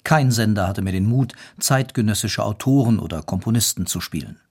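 A man narrating a scripted historical account in a measured, matter-of-fact way. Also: fairly low pitch, lively intonation, husky. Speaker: documentary narrator.

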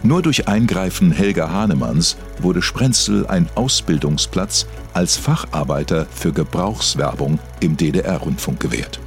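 Deep-voiced male documentary narrator. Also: lively intonation, measured pace, vocal fry, few pauses, faint music behind. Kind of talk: scripted biographical narration, mock-documentary.

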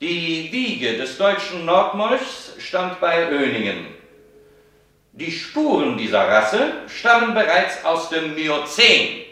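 Fanatical scientist-orator for radio play: slow, swooping, distant and self-important. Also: male voice, on the high side, very animated, bright, forceful tone, exaggerated voice. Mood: pompous, pedantic. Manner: declamatory, swelling into fervent oratory. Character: pompous German racial-theorist scientist proclaiming superiority. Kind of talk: radio drama lecture. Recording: very echoey, distant from the mic.

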